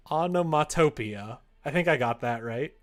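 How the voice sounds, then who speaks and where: Rambling male streamer thinking out loud. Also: on the high side, animated, unhurried. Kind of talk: casual chat on a live creative-writing stream.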